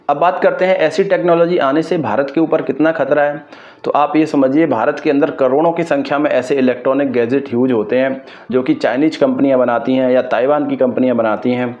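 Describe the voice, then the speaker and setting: A man's voice: mid-range voice, a little mellow. A man from India gives explanatory news commentary to viewers, speaking emphatically and opinionatedly and repeating his points. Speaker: host of an explainer video channel. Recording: dull lo-fi microphone sound.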